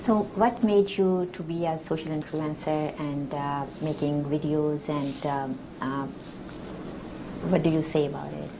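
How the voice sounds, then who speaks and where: A woman's voice: deep voice, steady tone, unhurried, noisy background. A woman hosting a TV talk show, asking her guest loosely phrased questions. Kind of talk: interview questions.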